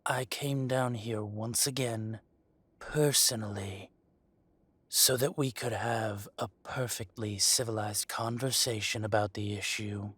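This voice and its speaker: Slow, low-voiced menacing mafia dragon boss, acted by a man. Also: fairly even intonation, fairly bright tone, breathy edge, dry recording. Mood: coolly menacing. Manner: slow, deliberate, mock-polite, threatening. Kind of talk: scripted roleplay audio drama monologue.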